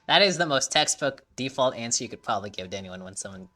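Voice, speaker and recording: A woman's voice: extremely low pitch, sing-song, fast talker, music in the background. A woman giving casual, sarcastic gameplay commentary with frequent swearing. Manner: swearing, sarcastic, laughing asides. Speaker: gameplay streamer.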